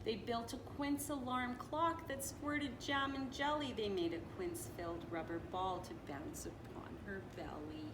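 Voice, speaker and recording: A woman reading a children's picture book aloud in an expressive, playful, dramatic way, with little asides. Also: on the high side, measured pace, few pauses. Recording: roomy echo with clear background noise.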